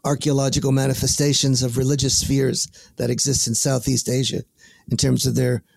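A man hosting a podcast interview, asking questions in measured, formal phrasing with small stammers. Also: medium pitch, level tone, vocal fry, darkish tone. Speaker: podcast host. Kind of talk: interviewing a guest.

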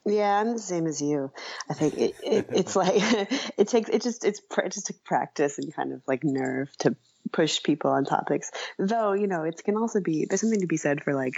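A woman's voice: lowish voice, expressive, normal speed, creaky, a little mellow, husky, lo-fi sound. A woman being interviewed as a writer, speaking casually and reflectively in a hesitant, self-effacing way.

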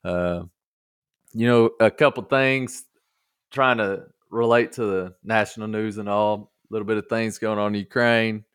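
Male podcast host, lowish pitch, dry sound, folksy casual banter. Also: steady tone, normal speed, a bit bright, clear, resonant tone, no echo. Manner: folksy, joking. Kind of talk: casual chat.

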